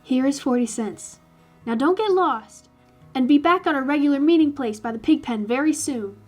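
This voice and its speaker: A woman's voice: high voice, normal speed, faint music behind, voice pushed up, close to an everyday voice. A woman voicing a firm, reassuring farm mother in a storybook reading.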